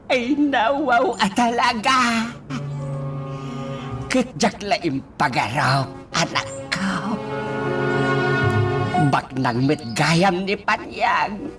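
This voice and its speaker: A woman playing an emotional mother in a radio drama, who sighs and exclaims often and speaks slowly over faint music. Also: low-pitched, exaggerated voice. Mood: exclaiming. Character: older mother fretting over her son. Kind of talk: amateur radio drama acting.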